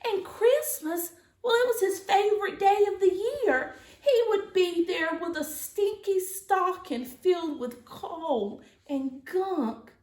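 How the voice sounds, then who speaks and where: A woman teacher reading a children's storybook aloud in a dramatic, expressive way. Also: extremely high pitch, slow, few pauses. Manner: dramatic, expressive, with gasps and asides to listeners. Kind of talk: animated storybook read-aloud. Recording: roomy, echoey sound.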